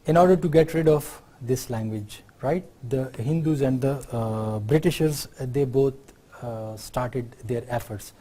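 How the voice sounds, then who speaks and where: A man's voice: mid-range voice, measured pace, dark tone. Pakistani man lecturing with frequent "right?". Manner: unscripted explaining, many fillers, tag questions like "right?". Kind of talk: university lecture.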